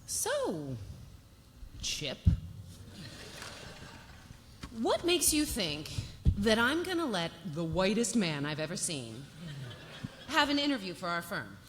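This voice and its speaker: A woman acting a sharp-tongued, commanding legal secretary in a live script read. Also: medium pitch, huge pitch swings, a little slow. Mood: withering. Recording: noisy hall with long reverb.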